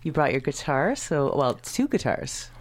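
A woman's voice: low voice, very animated, a little slow. A woman hosting a casual community radio interview, speaking hesitantly with many fillers and frequent laughs. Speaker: radio host.

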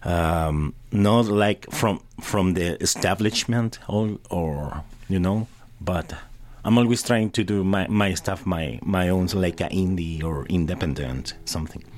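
A man from Chile with a Chilean Spanish accent, a musician guest speaking halting English with repeated words and friendly laughs. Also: low voice, darkish tone. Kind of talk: casual radio interview.

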